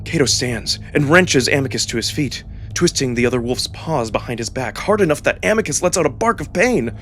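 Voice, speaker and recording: A man giving a suspenseful, expressive first-person narration of a dramatic story. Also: medium pitch, fairly quick, a little hiss or hum. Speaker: narrator and voice performer. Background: background music.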